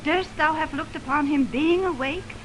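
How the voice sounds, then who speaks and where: An actress as high-voiced, distraught young Shakespearean heroine. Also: female voice, moderate pace, natural voice. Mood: angry accusing. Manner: theatrical, declamatory verse delivery. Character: Hermia, distraught young woman in love, fiercely accusing. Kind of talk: 1920s radio Shakespeare acting. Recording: noisy 1929 radio aircheck from optical film.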